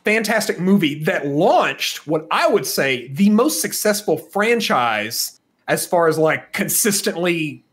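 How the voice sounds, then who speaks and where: A man's voice: fairly high pitch, sing-song, measured pace, fairly bright tone. A man co-hosting a podcast, talking casually and giving opinions with emphatic stress on key words. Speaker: podcast co-host.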